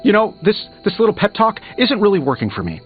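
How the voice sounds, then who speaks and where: An actor playing a proud, guilt-ridden genius superhero in a video game, sarcastic, emphatic and hard on himself. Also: male voice, high voice, wildly swooping pitch, fast talker. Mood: cold, sarcastic. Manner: sarcastic, self-reproaching, emphatic. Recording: muffled game audio under music.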